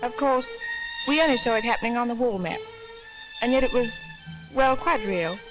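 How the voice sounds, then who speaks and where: A woman's voice: a little high, moderate pace, poor audio. A woman giving a reserved, reflective first-person account in a 1940s wartime documentary voice-over. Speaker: wartime map-room worker narrating. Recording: 1940s film soundtrack with music behind.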